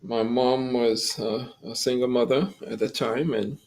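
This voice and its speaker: A man's voice: medium pitch, steady tone, unhurried, a little mellow. A man in his fifties, from Trinidad and Tobago, earnestly telling his life story as a guest. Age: 50s. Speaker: guest, music school founder. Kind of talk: personal story in an interview.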